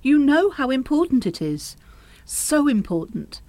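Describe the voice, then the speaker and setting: Actress as an insistent French madame, lively and high. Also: female voice, dry recording, heavily acted. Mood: urgent, persuasive. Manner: brisk, insistent, pressing. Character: practical, persuasive mother of a grown daughter, French madame. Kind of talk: radio play acting.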